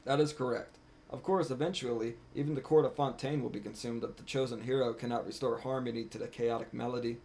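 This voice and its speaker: A man's voice: mid-range voice, steady tone, quick pace, darkish tone, natural voice. A man voicing a self-important talking cat on a solemn mission from a video game, in archaic, formal words. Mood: solemn, cryptic. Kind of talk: reading game dialogue aloud. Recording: music behind the voice.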